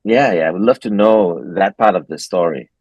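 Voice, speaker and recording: A man's voice: low voice, normal speed, no echo, muffled recording. A man hosting an interview show over a video call, agreeing often and laughing along. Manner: warm agreeing backchannels, laughs. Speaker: podcast host.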